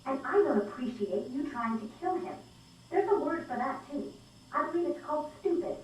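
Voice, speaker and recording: A woman's voice: sits high, expressive, moderate pace. An actress playing a principled, defiant female scientist who stands her ground in a video game cutscene. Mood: defiant, sarcastic. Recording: very echoey, distant, faint noise.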